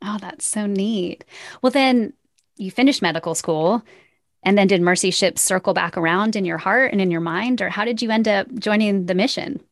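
A woman's voice: medium pitch, moderate pace, no room sound, studio quality. A woman hosting an interview podcast, speaking in an enthusiastic, encouraging way. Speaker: podcast host. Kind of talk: scripted introduction and interview hosting.

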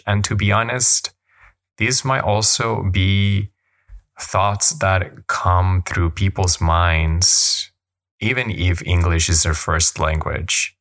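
Guy hosting a podcast, deep voice, slow and thoughtful, kinda self-questioning. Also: fairly bright tone. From Colombia. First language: Spanish. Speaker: yoga teacher and podcast host. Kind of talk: reflective podcast monologue.

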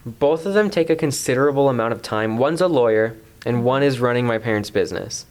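A man's voice: mid-range voice, brisk, a little mellow. A young man, in his teens or just past, discussing a book as a panelist in a wordy, playfully formal way. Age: teen to young adult. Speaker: teen book club panelist.

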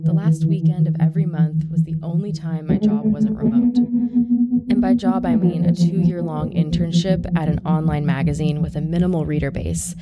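A woman reading first-person short fiction as a narrator, in a wry, confiding way. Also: low voice.

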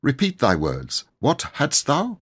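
A man's voice: mid-range voice, wildly swooping pitch, takes it slowly, a bit bright, breathy edge, pitched above the performer's own voice, full character voice. A man voicing a kindly, gallant medieval knight who declaims in pompous, courtly, archaic fashion for a storybook. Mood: courtly, puzzled.

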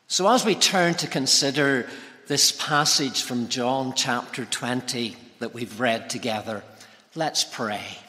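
A man preaching a sermon as a guest speaker, with a measured, deliberate delivery and emphatic phrasing. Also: medium pitch, bright, forceful tone. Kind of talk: sermon with prayer. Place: large reverberant church hall. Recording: long hall reverb.

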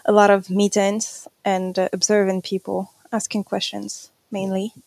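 A woman's voice: medium pitch, completely flat delivery, normal speed, creaky, darkish tone, no room sound. A woman in her thirties from Morocco, a podcast guest answering interview questions hesitantly, with many fillers. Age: 30s. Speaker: guest, scrum master.

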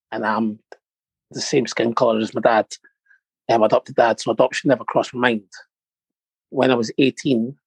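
Slow, gravelly man in his thirties. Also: lowish voice, no room sound, low-quality recording. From born in Morocco, raised in Scotland. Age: 30s. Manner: soft-spoken, hesitant with many ums. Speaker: interview guest. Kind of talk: personal interview.

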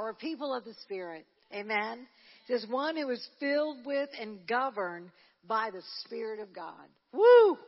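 A fairly high-voiced woman preaches a Pentecostal sermon, her words ringing out with a long echo around a church sanctuary. She talks loosely and restarts her sentences, then climbs into fervent, rousing delivery. The sound is muffled and lo-fi. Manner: conversational, with frequent restarts, rising to fervent preaching. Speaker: church minister leading a prayer service.

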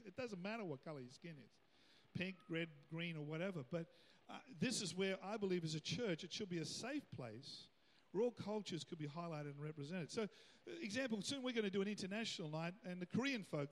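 A middle-aged man preaches in a high, light voice. He talks loosely and conversationally, turning to the congregation with asides. His voice rings out with a long reverb in a big church hall. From Australia. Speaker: pastor. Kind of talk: sermon.

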